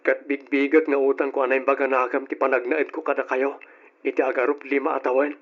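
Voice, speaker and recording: An actor playing a put-upon young nephew in an Ilocano radio drama, speaking with an Ilocano Filipino accent. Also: male voice, medium pitch, level tone, fairly quick, natural voice. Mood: hurt, polite. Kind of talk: old-style Ilocano radio drama acting. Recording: dull, lo-fi radio drama recording.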